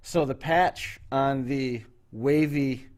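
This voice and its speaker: A man hosting a talk show in casual chat, telling stories in an unhurried, rambling way. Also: medium pitch, steady tone.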